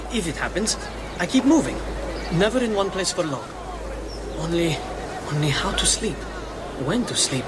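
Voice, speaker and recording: An actor playing a paranoid hunted man in a video game, nervously muttering to himself in fragments. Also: high voice. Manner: nervous, fragmented self-talk. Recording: very noisy outdoor street ambience.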